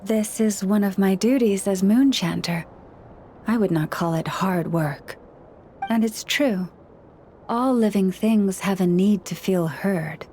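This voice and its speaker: A woman voicing a gentle young Moon Chanter in a video game, speaking in a calm, measured, earnest way as a caring counselor to people and animals. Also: medium pitch, slight background noise, lightly acted.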